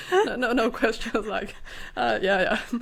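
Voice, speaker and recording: A woman from Finland with a Finland-Swedish accent, co-hosting a casual podcast chat, chatty and laughing often with many restarts. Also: on the high side. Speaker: podcast co-host.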